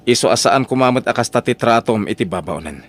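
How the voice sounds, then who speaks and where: A man with an Ilocano Filipino accent playing an exasperated, defiant husband in an audio drama, emphatic and expressive. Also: medium pitch, quick pace, lightly acted. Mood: indignant, admonishing. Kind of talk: radio-style audio drama acting.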